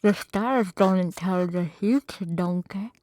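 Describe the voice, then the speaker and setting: A woman reading the lines of a grumpy male ogre in a lowered, plain voice. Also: takes it slowly, background noise. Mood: gruff.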